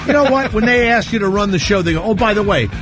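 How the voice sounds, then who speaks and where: Wisecracking radio host guy, voice jumps all over, bit high, music faint behind. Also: lively intonation. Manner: sarcastic, teasing, quick to laugh. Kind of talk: joking talk radio banter.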